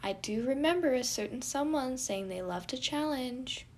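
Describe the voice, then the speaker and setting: Woman voicing a high, sweet, caring young messenger. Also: lively intonation, normal speed, slight background noise. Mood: reassuring. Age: teenage. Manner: gentle, parental, eager to reassure. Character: caring, fretful, sweet teenage messenger friend.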